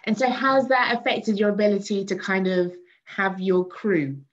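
A woman's voice: mid-range voice, moderate pace. A young woman interviewing a guest over a video call from her living room, asking questions and adding short encouraging replies. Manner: brief encouraging backchannels and questions. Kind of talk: casual interview. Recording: dull lo-fi video call audio.

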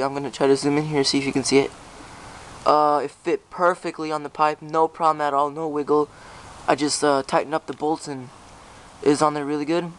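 Teenage boy, higher-pitched and even-toned. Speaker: YouTube channel host. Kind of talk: casual handheld walkthrough vlog. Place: backyard, then inside a small unheated shed. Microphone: handheld camera's built-in mic. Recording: handheld camera with clear background noise.

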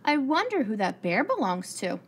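A woman's voice: fairly high pitch, big pitch swings, normal speed. A woman voicing a kindly, musing male artist from a children's storybook. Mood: thoughtful. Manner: musing, gentle wondering. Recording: clearly noisy room recording.

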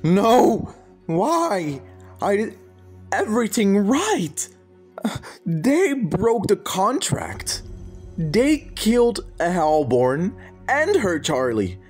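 A frantic male ruler of hell who swings wildly in pitch and pleads in distress, as a performer puts him on. He talks slowly with rising panic, faint music behind. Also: very animated, a little mellow, husky, exaggerated voice. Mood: distraught. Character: anxious male king of hell, emotional and frantic. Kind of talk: fan comic dub.

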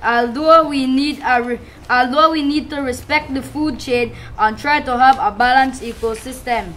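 A woman acts out a wise, kindly gentleman fish in a puppet show. The delivery is drawn out and measured, on the high side, with slight noise in the recording. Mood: wise, concerned. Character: kindly, respected male fish, wise helper. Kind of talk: amateur puppet show acting. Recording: noisy live puppet show.

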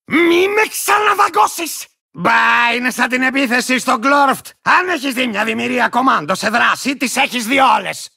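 A war-crazed little creature from a video game, boastful and hot-tempered. The voice is piercingly bright and full of gravel, swinging wildly up and down. It rants and barks like a pompous, unhinged commander. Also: male voice, lively intonation, full character voice. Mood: pompous, indignant, then boastful, musing. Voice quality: gravelly, shrill, cracked. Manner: ranting, pompous, barking, bursting into cackles. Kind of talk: cartoonish video game character acting.

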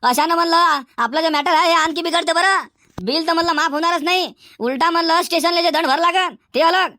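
A man's voice: very high-pitched, fairly quick, bright, forceful tone, no echo, clean studio sound. A man voicing a feisty, henpecked old village uncle in a comedy cartoon, with a Varhadi Marathi accent and emphatic, repetitive phrasing. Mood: firm, insistent. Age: older.

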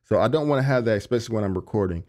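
Low-voiced man, laid-back studio tutorial. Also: rapid-fire. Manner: relaxed, conversational, many fillers like "you know what I'm saying". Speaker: music producer and engineer. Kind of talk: casual tutorial walkthrough. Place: home studio. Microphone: large studio condenser on a stand.

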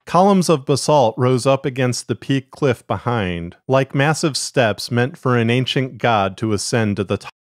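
A man with a mid-pitched voice narrates a fiction podcast. He reads from a script, recorded very dry on a close studio mic. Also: animated, moderate pace, hardly any pauses, dry recording.

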